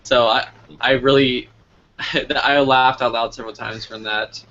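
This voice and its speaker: A man's voice: medium pitch, steady tone, measured pace, sharp tone. A man from New Mexico hosting a casual interview, chatting and putting questions to his guest. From New Mexico, United States. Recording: muffled lo-fi sound with background noise.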